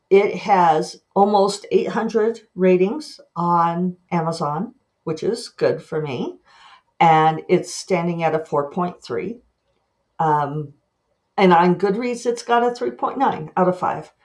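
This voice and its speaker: A woman hosting her own podcast, chatting casually and animatedly with quick asides and self-mocking laughs. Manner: lively pitch jumps when acting out her own thoughts. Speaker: podcast host and author. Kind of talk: casual monologue.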